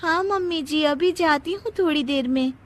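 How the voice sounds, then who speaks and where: Woman as a very high-pitched lazy young bride. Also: normal speed, close to an everyday voice. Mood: reluctant. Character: lazy, cheeky young daughter-in-law, newlywed. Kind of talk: Hindi cartoon family story.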